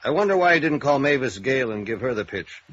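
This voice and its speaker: An actor playing a businesslike, dry-witted police sergeant in a vintage radio drama, terse and matter-of-fact. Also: male voice, mid-range voice, animated, fast, fairly bright tone, muffled recording. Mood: businesslike, pondering. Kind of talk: 1950s radio drama acting.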